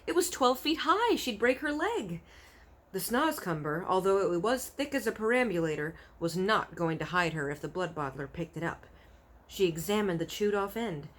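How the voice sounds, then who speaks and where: A woman narrating a children's story aloud in an expressive read-aloud style. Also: mid-range voice, moderate pace. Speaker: storybook reader.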